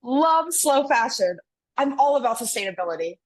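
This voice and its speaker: A woman's voice: on the high side, big pitch swings, normal speed, poor audio. A woman playing an earnest, outspoken Millennial American twin in a romcom table read. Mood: friendly.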